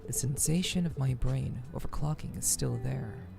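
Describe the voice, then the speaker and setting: A woman voicing a reserved, weary woman from a visual novel in a measured, subdued, formal manner. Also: very deep voice, gravelly, exaggerated voice. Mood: tired, calm. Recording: music behind the voice.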